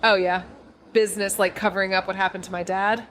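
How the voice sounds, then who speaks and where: A woman's voice: medium pitch, level tone, fairly quick, a bit bright, slight background noise, natural voice. An actress playing a fierce, sarcastic young detective in a noir audio drama, blunt and rambling as she tells her story. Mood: angry, confrontational. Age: young adult. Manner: sardonic, blunt, rambling, self-interrupting. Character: Amy, fierce sarcastic foul-mouthed young female detective. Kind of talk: first-person noir audio drama narration.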